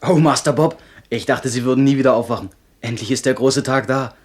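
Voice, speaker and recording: A man's voice: mid-range voice, brisk, close to an everyday voice. Eager, cheeky ship's boy acted by a man. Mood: excited, cheeky. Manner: excited, boastful, chatty. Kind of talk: 1970s German radio drama acting.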